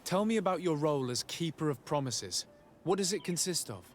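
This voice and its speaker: A man playing a calm, diplomatic adventuring envoy in a video game, speaking in a level-headed, polite way. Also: a little high, fairly quick, slight background noise, natural voice. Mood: calm, inquiring. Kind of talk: video game cutscene acting.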